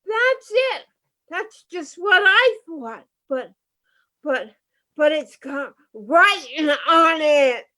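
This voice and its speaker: A woman playing an anxious, pleading wife in a radio play, speaking in halting, fretful bursts. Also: high voice, expressive, sharp tone, exaggerated voice. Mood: anxious, upset. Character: frightened, anxious wife pleading with her husband. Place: home, over a video call.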